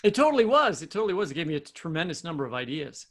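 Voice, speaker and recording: A man with an American accent co-hosting an interview, speaking conversationally and effusively, with halting restarts. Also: a little high, sing-song, a bit bright. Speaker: podcast co-host.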